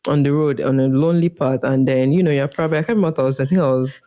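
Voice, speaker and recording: A Nigerian woman co-hosting a podcast, telling stories in a lively, casual way with an accent from Nigeria and big jumps in pitch when she quotes people. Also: racing. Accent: Nigerian English. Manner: animated storyteller, pitch jumps when quoting. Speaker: podcast co-host. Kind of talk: casual chat.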